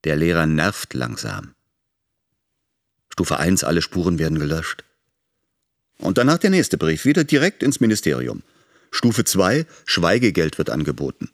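An actor playing a cold, calculating and menacing politician in an audio drama monologue. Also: male voice, low-pitched, big pitch swings, unhurried, long pauses, heavily acted. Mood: coldly calculating. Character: cold, scheming male government politician.

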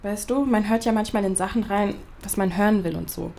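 A young actress playing a pragmatic, sceptical best friend in a naturalistic audio drama, speaking casually. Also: female voice, mid-range voice, fairly quick, darkish tone. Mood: gently sceptical. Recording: clear background ambience noise.

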